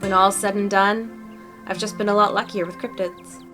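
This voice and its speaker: A woman's voice: mid-range voice, little pitch movement, moderate pace, lightly acted. Woman as a chatty, earnest young cryptid hunter, background music. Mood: candid, earnest. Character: chatty, upbeat young female cryptid-hunting video maker. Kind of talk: fan-dubbed visual novel dialogue.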